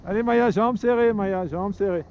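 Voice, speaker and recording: A man with a high voice calls out short, repeated, encouraging commands as he coaches his children down the slope. He is filming with an action camera while he skis outdoors. The sound is dull and lo-fi, with faint wind behind him. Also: normal speed. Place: outdoor snowy mountain ski slope and forest. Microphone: action camera worn while skiing.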